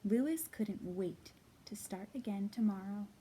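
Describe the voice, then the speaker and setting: A woman hosting storytime for young children, reading and talking to them in simple, expressive phrases. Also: medium pitch, slow pace, a little hiss or hum, poor audio. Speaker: children's library storytime host. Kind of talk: storytime read-aloud and singalong for young children.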